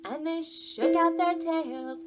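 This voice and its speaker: A woman with a high voice hosts a preschool storytime in an expressive, singsong way. She takes her time, using simple words for little listeners, with ukulele music in the recording. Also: takes it slowly. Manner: expressive, simple language addressed to young children. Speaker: children's librarian hosting preschool storytime. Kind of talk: singsong children's songs and picture-book read-aloud.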